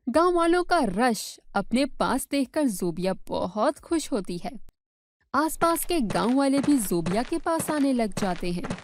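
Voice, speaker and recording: A woman narrates a scripted storybook tale as its narrator. Her voice sits a little high, and the sound is very dry and close, with no room around it. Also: darkish tone, no echo.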